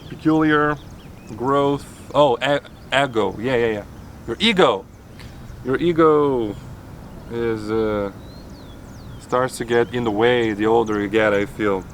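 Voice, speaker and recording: A man's voice: mid-range voice, takes it slowly, long pauses, slight background noise. A man talking casually in a vlog outdoors, rambling and restarting his sentences with chuckles. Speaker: vlogger. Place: outdoors, near a road.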